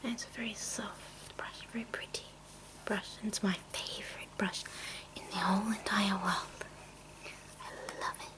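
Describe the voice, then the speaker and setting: A woman speaking in a breathy whisper in an ASMR style, softly describing things and repeating gentle words. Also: mid-range voice, steady tone, low-quality recording. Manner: slow, repeats soft words as trigger sounds. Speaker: ASMR video creator. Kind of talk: ASMR, softly narrating personal makeup items.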